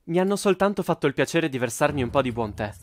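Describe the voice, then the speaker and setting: A man's voice: on the high side, expressive, fast talker, slight background noise, close to an everyday voice. A man voicing an unflappable, polite teenage boy with a formal, reserved manner in an anime fan dub. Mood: calm polite. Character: calm, polite fifteen-year-old boy newcomer, unflappable.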